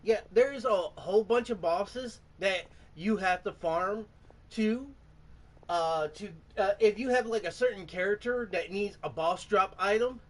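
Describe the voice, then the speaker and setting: A man hosting a gaming channel, giving casual, halting commentary and often groping for words. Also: high-pitched. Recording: voice distant from the mic.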